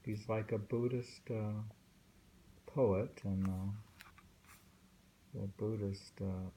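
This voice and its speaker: A man reading poetry aloud informally and commenting on it in a halting, musing way, pausing often. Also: fairly low pitch, fairly even intonation, warm tone. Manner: halting, musing, with frequent pauses. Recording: faint background noise, home recording.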